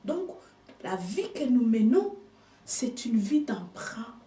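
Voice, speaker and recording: A woman whose first language is not French, preaching a sermon with slow, emphatic delivery and hushed asides. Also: a little high, big pitch swings, distant mic, slight background noise. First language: another language than French. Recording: echoey room, speaker off mic.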